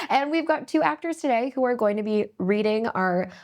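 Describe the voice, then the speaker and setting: A woman hosting a table read, chatting briskly and then reading stage directions aloud. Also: a little high, lively intonation. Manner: brisk, upbeat, laughs easily. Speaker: host and script narrator.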